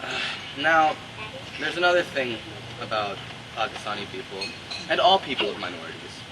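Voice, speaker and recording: A man from North America telling stand-up comedy stories in an animated way, acting out dialogue as he goes. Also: medium pitch, normal speed. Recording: very noisy live recording from far off.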